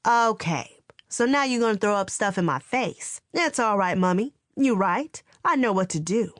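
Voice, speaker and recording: A woman voicing a resentful middle-aged aunt who complains and confronts in a sharp, raised voice. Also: mid-range voice, animated, normal speed, no echo, studio quality, natural voice. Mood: angry complaining.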